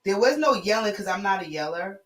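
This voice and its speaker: A woman's voice: medium pitch, animated, a little fast, fairly bright tone. A woman co-hosting a casual chat, with a heavy, confidently strong voice that she keeps down. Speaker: co-host. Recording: echoey room.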